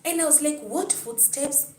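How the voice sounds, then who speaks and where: A woman's voice: sits high, normal speed, darkish tone, far from the mic, a little hiss or hum. Chatty Kenyan woman in echoey room. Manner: chatty, answers chat comments, storytelling. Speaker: livestream host. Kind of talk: casual livestream talk with viewers.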